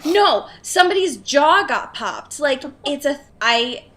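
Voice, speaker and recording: A woman's voice: high-pitched, lively intonation, slow pace, a bit bright, a little hiss or hum. A young woman co-hosting a casual comedic podcast, swearing freely and exaggerating in slangy talk. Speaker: podcast co-host. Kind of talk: casual comedic book discussion.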